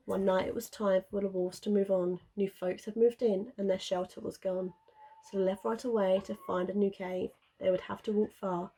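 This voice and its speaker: Woman reading a bedtime rhyme, slightly husky. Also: mid-range voice, dark tone. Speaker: primary school teacher.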